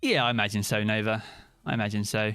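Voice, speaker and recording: A man's voice: fairly low pitch, fast, fairly bright tone, smooth, no echo, soft background music. A British man streaming live, chatting casually and answering viewers' comments by name.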